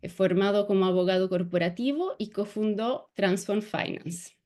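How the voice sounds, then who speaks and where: A woman's voice: mid-range voice, normal speed, darkish tone. A woman with a Latin American Spanish accent hosting a webinar, reading a formal introduction of a guest with a few light fillers. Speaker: webinar moderator. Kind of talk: scripted introduction.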